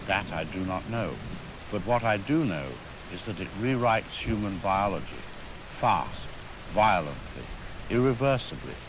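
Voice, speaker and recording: An actor playing a grave, erudite virologist in a radio drama, speaking formally and deliberately with weighty pauses and cold fatalism. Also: male voice, fairly low pitch, animated. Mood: grave, clinical. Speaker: expert guest. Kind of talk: scripted radio drama interview. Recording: hiss, rain and thunder noise. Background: rain, thunder, hiss.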